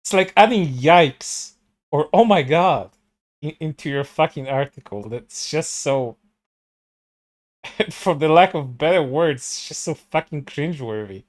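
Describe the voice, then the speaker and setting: A man's voice: fairly high pitch, lively intonation, a little slow. A man commenting on a stream, stammering through restarts, swearing a lot and sounding sarcastic. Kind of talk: casual commentary.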